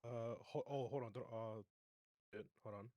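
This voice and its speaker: A man's voice: medium pitch, steady tone, moderate pace, warm tone, no echo. Male tabletop player, mid-range voice, casual, blunt and foul-mouthed, dry recording. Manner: sweary, blunt. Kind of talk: casual chat.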